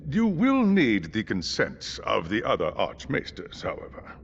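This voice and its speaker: Game casting: courteous fussy academic, hugely varied pitch, dull sound. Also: male voice, huge pitch swings, gravelly, exaggerated voice. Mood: politely fussy. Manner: fussy, formal, measured politeness. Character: fussy, courteous older maester, a male scholar. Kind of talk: video game cutscene acting. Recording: dull audio with faint ambient noise.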